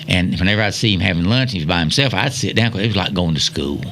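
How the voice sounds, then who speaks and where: A man's voice: deep voice, lively intonation, fast, fairly bright tone, a little hiss or hum. A man in his seventies with a Southern American accent, telling folksy reminiscences in a rambling way, repeating phrases for emphasis. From Wilkes County, North Carolina, USA. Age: 70s. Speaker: storyteller guest.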